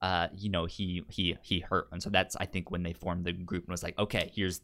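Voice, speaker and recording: A man co-hosting a casual film discussion, halting and often repeating words as he works out his point. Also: low-pitched, flat delivery, fairly bright tone. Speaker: podcast co-host.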